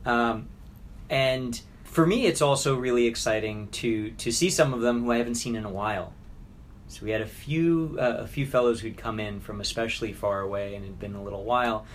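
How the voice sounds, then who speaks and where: A man co-hosting a casual conversational recap, haltingly searching for his words. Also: medium pitch, slight background noise. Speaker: podcast co-host, charity staff.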